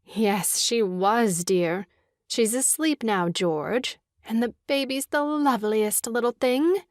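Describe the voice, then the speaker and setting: A woman playing a gentle, fond young sister in a comic stage farce, with a coaxing, soft-hearted manner. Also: fairly high pitch, takes it slowly, no room sound, clean studio sound. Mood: sweetly pleased.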